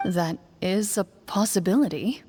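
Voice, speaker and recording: A poised young woman game master , played by an actress from a video game. The delivery is measured, formal and deadpan, at a middle pitch, with soft music underneath. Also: close to an everyday voice. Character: composed, stern young woman running a tabletop game. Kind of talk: video game voice acting, scripted narration.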